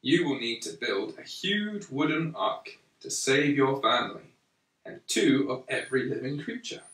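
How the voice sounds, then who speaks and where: A man reading a children's story aloud in a measured, deliberate way, pausing between phrases. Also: medium pitch, animated, fairly bright tone. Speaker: storyteller reading a Bible picture book to a young child. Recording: roomy, echoey, slightly distant microphone.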